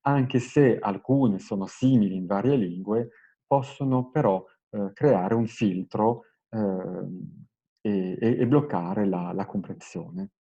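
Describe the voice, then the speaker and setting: A man giving an explanatory language lesson as a teacher, in a measured, hesitant way, searching for his words. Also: lowish voice, mellow, slightly rough, muffled recording. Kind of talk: explanatory lesson, semi-improvised.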